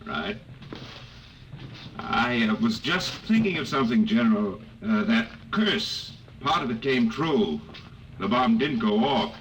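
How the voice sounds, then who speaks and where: A hesitant, uneasy technician. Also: male voice, mid-range voice, lively intonation, fairly bright tone. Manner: hesitant, halting with uh's. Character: uneasy young navy technician, superstitious. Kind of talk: 1950s radio drama acting. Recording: muffled, distant, hissy old radio recording.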